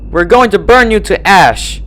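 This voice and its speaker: A man voicing a frightened, angry young female pony wizard in a horror story reading, yelling and pleading. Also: high-pitched, lively intonation, moderate pace, slight background noise, voice pushed up. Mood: tearful defiance. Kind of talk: horror fan fiction reading.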